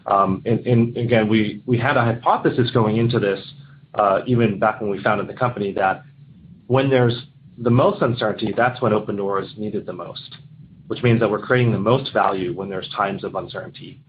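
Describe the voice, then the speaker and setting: A man speaking as a company executive on an earnings call, halting and repeating his words as he answers. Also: fairly low pitch, fairly even intonation. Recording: muffled conference line, faint noise.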